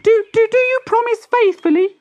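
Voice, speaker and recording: A woman voicing an indignant, suspicious baby boy tortoise in a squeaky voice for a children's storybook. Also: normal speed, darkish tone, highs cut off. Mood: pleading, suspicious. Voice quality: squeaky, thin child-like pitch.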